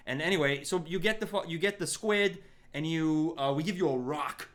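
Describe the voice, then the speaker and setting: A man in a comedy bit, playing a restaurant owner and pitching his menu in a put-on Greek work accent with earnest salesman patter. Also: fairly bright tone.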